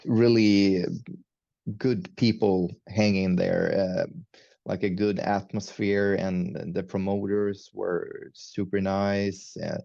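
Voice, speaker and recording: A man's voice: low-pitched, fairly even intonation, slow pace, creaky, dark tone, no echo, dull audio. A man whose first language is Swedish, a metal musician chatting as an interview guest, hesitant with many fillers and restarts. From Sweden. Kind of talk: casual interview chat.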